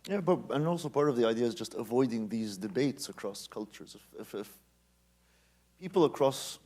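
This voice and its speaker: A man moderating a conference panel, soft-spoken and measured. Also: medium pitch, animated.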